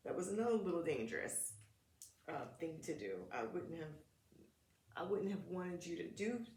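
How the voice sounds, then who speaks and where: A woman's voice: on the low side, lively intonation, normal speed, a little mellow. A woman reading a picture book aloud to preschool children in short, simple phrases, talking to them directly. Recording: echoey room.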